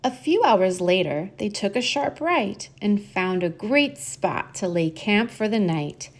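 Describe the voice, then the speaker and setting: A woman reads a rhyming picture book for children at a slow, unhurried pace. Her pitch sits in the middle for a woman, and she narrates expressively, stretching out key words as a storyteller does. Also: expressive. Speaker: storybook reader and host.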